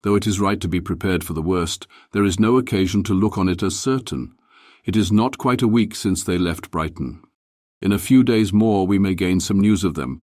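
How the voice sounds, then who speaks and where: A man voicing a calm, reassuring middle-aged gentleman in an audiobook, speaking in a measured, steadying way. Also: low-pitched, dry recording, clean studio sound, voice pushed down. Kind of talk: dramatized audiobook character reading.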